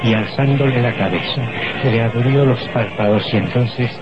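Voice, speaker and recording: A man narrates an old radio play solemnly and dramatically, in a mid-pitched voice. His scripted delivery sits over prominent music on a muffled vintage recording. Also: moderate pace.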